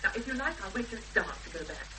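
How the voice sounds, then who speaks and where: A woman playing an earnest young woman in a 1970s British radio drama, expressive and hesitant when pressed, on an old recording. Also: medium pitch, very fast, background noise, natural voice. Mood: anxious, pleading. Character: Emma, earnest young woman searching for missing sister.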